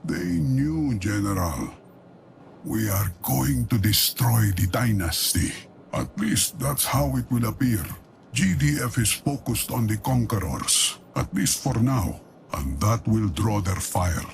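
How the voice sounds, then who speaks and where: An actor playing an ailing, cunning old deposed patriarch in a game cutscene, grave and measured, with a gravelly voice. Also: male voice, on the low side, very animated, slight background noise, full character voice. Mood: grim, calculating. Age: older. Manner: grave, measured, deliberate pauses. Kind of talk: dramatic game cutscene monologue.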